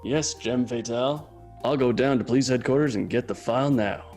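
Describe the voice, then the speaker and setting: Man playing a deadpan noir detective, music behind. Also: mid-range voice, a little fast, natural voice. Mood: deadpan, businesslike. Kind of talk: radio drama comedy acting.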